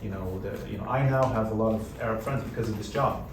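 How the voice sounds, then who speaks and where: A man who grew up in the United States, speaking on a panel in a relaxed, conversational way with lots of "you know" fillers. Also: on the low side, fast talker. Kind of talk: panel discussion. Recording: roomy hall, distant mic, background noise.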